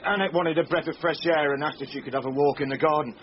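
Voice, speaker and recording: Man acting eager junior cop, quick, higher voice, apologetic, radio play, noisy muffled sound. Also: steady tone, lightly acted. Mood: anxious, apologetic. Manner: deferential, hurried. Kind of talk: radio drama dialogue.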